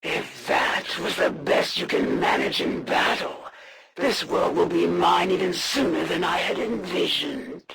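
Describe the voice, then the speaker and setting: Gravelly, haughty alien assassin. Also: medium pitch, sing-song, crowd noise, exaggerated voice. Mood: haughty, threatening. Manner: formal, haughty, deliberate, theatrical. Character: proud, menacing alien assassin warlord, honor-bound and solemn. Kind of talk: scripted video game villain monologue.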